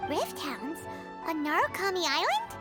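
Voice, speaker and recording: A woman voicing a tiny, childlike, excitable and cheeky female companion in a video game, with a squeaky voice and music behind her. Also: a little slow, heavily acted. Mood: curious, confident. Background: loud game music.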